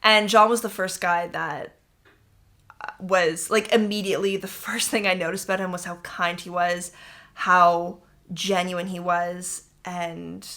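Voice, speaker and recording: Bright-voiced chatty woman podcaster. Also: fairly low pitch, flat delivery, a little slow. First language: English. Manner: chatty, rambling, upbeat. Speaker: podcast host. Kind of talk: casual chat podcast. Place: warm apartment room.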